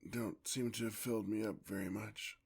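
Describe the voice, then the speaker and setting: A man playing a weary, tender, courtly male vampire in an ASMR roleplay, with a husky, gravelly voice and formal, old-fashioned speech. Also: fairly low pitch, level tone, normal speed, a little mellow.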